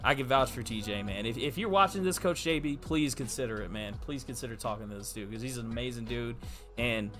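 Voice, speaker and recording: A man's voice: mid-range voice, brisk, a bit bright, soft background music. A man co-hosting a casual podcast as its producer, talking emphatically in slang and repeating himself to drive points home.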